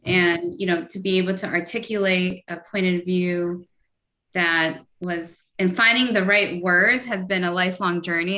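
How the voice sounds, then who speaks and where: A woman's voice: fairly low pitch, bright, forceful tone. A woman speaking as a panelist, talking in a candid and reflective way. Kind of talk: panel discussion.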